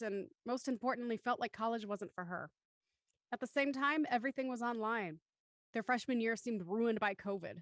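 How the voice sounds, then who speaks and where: A woman's voice: fairly high pitch, no echo. A woman presenting as a show host, reading out introductions and narration in a scripted presenting style. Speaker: host of a live-streamed audio drama show.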